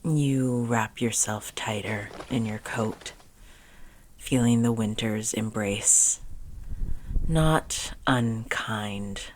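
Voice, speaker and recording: A woman leading a soothing guided meditation in a hushed, gentle way with deliberate pauses and soft phrase endings. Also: extremely low pitch, a little hiss or hum.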